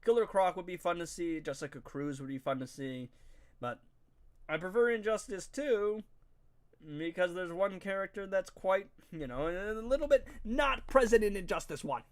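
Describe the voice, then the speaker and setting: A young man with a nasal, stuffed-up voice gives loose gameplay commentary to his live chat. He talks in a chatty, slangy way, and his voice sits a bit on the higher side. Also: lively intonation, normal speed. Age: young adult. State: stuffy, congested nose. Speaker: game streamer.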